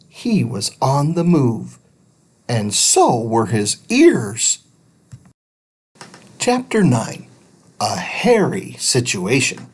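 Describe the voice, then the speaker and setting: Slow, very animated male storybook narrator. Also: medium pitch, breathy edge. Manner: dramatic, with stretched words for suspense. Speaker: storybook reader. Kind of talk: expressive children's audiobook narration.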